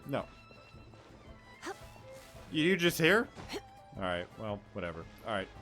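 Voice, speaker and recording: A man's voice: on the low side, sing-song, takes it slowly, pushed and bright, soft background music. A man giving casual let's play commentary, thinking aloud in fragments as he plays. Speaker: gaming streamer.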